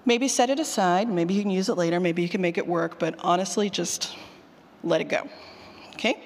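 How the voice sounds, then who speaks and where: Animated woman presenting in an echoing hall. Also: lowish voice, a little fast, slight background noise. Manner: animated, colloquial, emphatic, mildly sweary, puts questions to the room. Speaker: speaker leading a workshop on audio drama writing. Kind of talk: informal conference talk to an audience. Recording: long hall reverb from a large room.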